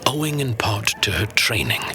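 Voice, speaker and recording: A man playing a knowing narrator of fantasy legends, grave, deliberate and dramatic, with a breathy voice. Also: on the low side, very animated, sharp tone, slight background noise. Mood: knowing, solemn. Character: solemn old-world male storyteller of legends, knowing. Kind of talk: scripted fantasy storytelling.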